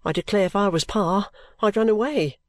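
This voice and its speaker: A woman voicing a sulky, overworked young woman who complains abruptly and breaks into pitched outbursts. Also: normal speed, dry recording, natural voice. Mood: exasperated.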